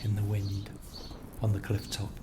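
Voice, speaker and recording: Low, even-toned man narrating slowly. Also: heavy background noise. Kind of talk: scripted literary narration of location-based stories.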